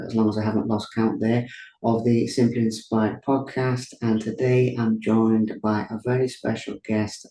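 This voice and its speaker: A man's voice: mid-range voice, mellow. A man with a Welsh accent hosting a podcast interview, effusive and grateful in his introductions and questions. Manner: effusive and grateful, thanking at length. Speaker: podcast host. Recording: echoey room with faint hiss.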